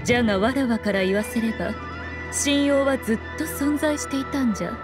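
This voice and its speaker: A woman voicing a sly, regal fox shrine maiden in an anime game, with measured, knowing, old-fashioned diction. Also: a little high, music in the background, lightly acted. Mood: cool, pondering. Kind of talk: Japanese anime game voice acting.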